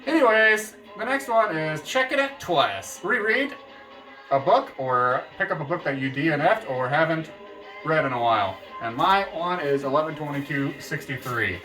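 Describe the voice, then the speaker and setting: A man's voice: fairly high pitch, expressive, moderate pace, sharp tone, recorded from a distance, faint music behind, poor audio. A man casually chatting about his reading plans on a book vlog, joking around and correcting himself as he goes. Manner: jokey, meandering, self-correcting. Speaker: book vlogger. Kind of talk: casual rambling book chat.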